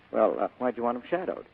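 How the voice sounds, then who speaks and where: A man's voice: mid-range voice, vocal fry, slightly rough, exaggerated voice. A man playing a wry, tough, cynical private detective in a 1940s radio drama, slangy and sardonic. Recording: narrow, tinny old radio broadcast.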